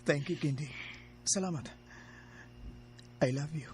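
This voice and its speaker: An earnest young suitor, played by a man in a radio drama, speaks in a slightly high voice. He is hesitant and pleading, apologetic toward the woman he loves. A faint noise sits behind the recording. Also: a little slow, natural voice. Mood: affectionate.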